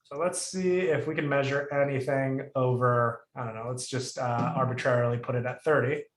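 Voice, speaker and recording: A man's voice: medium pitch, fairly even intonation, normal speed, reverberant. A male instructor on a video call walking through a coding lesson, thinking aloud with restarts and fillers. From Puerto Rico. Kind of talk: live coding lesson review.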